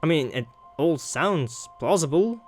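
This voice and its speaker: A man's voice: on the high side, animated, measured pace, faint music behind. Playful man with a lightish voice, gaming. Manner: thinks aloud, playful, exclaiming. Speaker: gaming video host. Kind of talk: casual playthrough commentary, reacting aloud.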